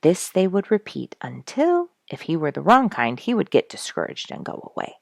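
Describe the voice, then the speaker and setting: A woman reading a children's animal story aloud in an expressive, dramatic storyteller's manner. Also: on the low side, moderate pace, gravelly, no echo, studio quality. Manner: dramatic, rhetorical questioning delivery.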